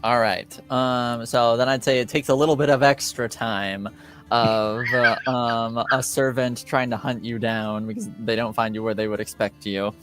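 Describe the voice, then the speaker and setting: A man running a tabletop game as game master, narrating in a relaxed, hesitant way with plenty of ums. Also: medium pitch, normal speed, faint music behind.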